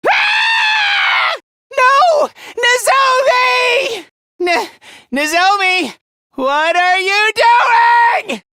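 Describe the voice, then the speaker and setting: A man's voice: very high voice, sing-song, a little slow, bright, forceful tone, dry recording, heavily acted. A man voicing a timid, anxious, self-loathing young male student in an anime video game, with a thin voice and a stammering, whiny delivery. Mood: panicked.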